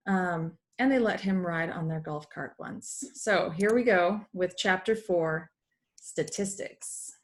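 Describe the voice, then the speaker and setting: A woman's voice: fairly low pitch, slow pace. A woman teaching through an educational read-aloud, explaining as she goes and slipping in informal asides. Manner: explanatory, acts out dialogue, breaks off for asides. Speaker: teacher reading a math comic aloud.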